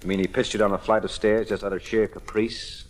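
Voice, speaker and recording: Cool, low-voiced American private eye played by an actor. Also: male voice, little pitch movement, lightly acted. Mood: probing. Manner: brisk, probing, dryly sarcastic. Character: cool, shrewd, wisecracking male private detective. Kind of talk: 1940s-50s American radio detective drama acting. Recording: noisy old radio recording.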